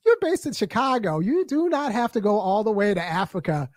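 A woman hosting a casual political chat, talking fast and animated and often laughing. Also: medium pitch, dry recording, clean studio sound. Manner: animated, laughing, acts out quotes. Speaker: podcast host.

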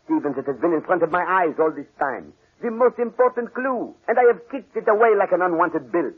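An actor playing a clever, patient Belgian detective with a French accent and precise, formal phrasing, in 1940s radio mystery style. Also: male voice, high voice, expressive, a little fast, husky. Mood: exclaiming. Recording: muffled, band-limited 1940s radio recording.

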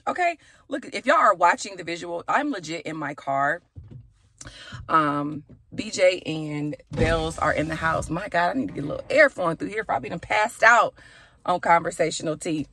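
A woman in her thirties hosting a podcast from her car, chatty and raspy, saying a prayer for her listeners. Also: fairly low pitch, lively intonation, normal speed, a little hiss or hum. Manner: chatty and warm, with "y'all" and "okay". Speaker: podcast host. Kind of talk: casual chat with prayer.

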